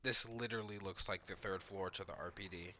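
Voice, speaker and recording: A man's voice: sits low, steady tone, fairly quick, sharp tone. A man giving casual reaction commentary on video game footage, talking informally and thinking aloud as a gaming YouTuber.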